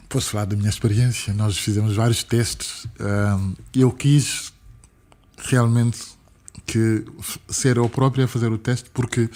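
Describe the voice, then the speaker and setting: A man's voice: medium pitch, warm tone. A man from Senegal with a French-tinged accent, talking as a guest in an interview, emphatic and repeating words for stress. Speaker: guest, activist. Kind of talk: conversational interview, earnest. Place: podcast studio.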